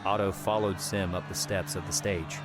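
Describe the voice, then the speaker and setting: A man narrating an audiobook in a measured, dramatic storytelling manner. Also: low voice, steady tone, crowd noise. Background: background music.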